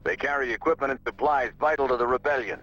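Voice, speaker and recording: Casting: male actor as sci-fi game general, authoritative and steady, forceful delivery of mission orders, lo-fi sound. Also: mid-range voice, quick pace, close to an everyday voice. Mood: firm, briefing. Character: commanding, steady rebel general briefing his pilots. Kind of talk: military mission briefing. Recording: dull lo-fi game audio, faint music behind.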